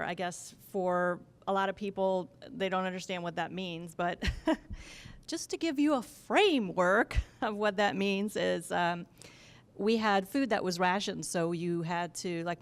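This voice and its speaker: Woman, panel speaker, gravelly fry, halting but candid delivery. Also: lowish voice. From Poland. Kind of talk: personal story at a talk. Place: office event space with audience.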